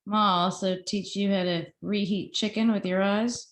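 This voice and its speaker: A woman's voice: medium pitch, fairly even intonation, moderate pace, reverberant, natural voice. A woman playing a confident, affectionate reporter with a playful, wry manner in a script table read. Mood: teasing.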